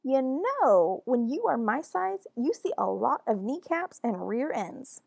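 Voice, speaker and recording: Lady doing a chatty little girl from a storybook, high voice, earnest, really emphatic. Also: very animated, unhurried, gravelly, darkish tone, dry recording, clean studio sound, full character voice. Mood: playful, insistent. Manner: emphatic, explaining. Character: chatty, earnest young schoolgirl heroine of a storybook.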